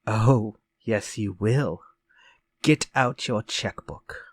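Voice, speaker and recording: Slow, menacing vengeful ex-convict. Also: male voice, lowish voice, slightly rough, full character voice. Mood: threatening, demanding. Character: sinister vengeful ex-convict murderer visiting a stuck writer. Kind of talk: retro radio drama acting.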